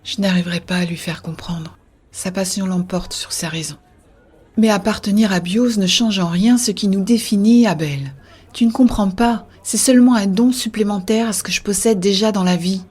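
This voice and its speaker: A woman playing an earnest, idealistic young-hearted believer in a scripted science-fiction audio drama, with a husky, soft delivery. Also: mid-range voice, moderate pace, natural voice. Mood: hushed, pensive. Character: Béa, earnest idealistic woman defending a shared-consciousness network. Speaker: voice actor narrating in first person.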